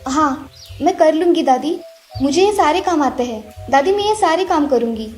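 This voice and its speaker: A woman's voice: high-pitched, expressive, moderate pace, darkish tone, soft background music. A woman voicing an innocent, helpful young village girl in a children's cartoon story. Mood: eager.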